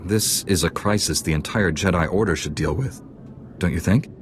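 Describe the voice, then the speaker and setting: A man voices a young heroic knight in a sci-fi game. His voice is notably low, calm and earnest, with music clearly audible behind. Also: moderate pace, lightly acted. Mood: earnest, serious. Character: earnest, steady young male Jedi knight. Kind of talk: video game character acting.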